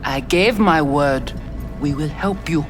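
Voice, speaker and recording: Cool, imperious Creole-accented gang leader. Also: female voice, low-pitched. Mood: cool, calculating. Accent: Haitian Creole. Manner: measured, commanding, formal. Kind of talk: video game voice acting. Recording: music behind the voice.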